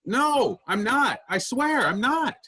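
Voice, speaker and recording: A man acting in a staged play reading as an earnest, wistful man searching for his vanished sibling, with a husky voice. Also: extremely high pitch, expressive, slow, bright, forceful tone, heavily acted. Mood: defensive, flustered.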